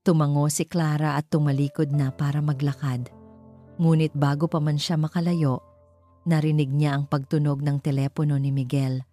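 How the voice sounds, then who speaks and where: A woman's voice: low-pitched, flat delivery, moderate pace, warm tone, soft background music. A woman with a Filipino accent reading a scripted romance story as its narrator.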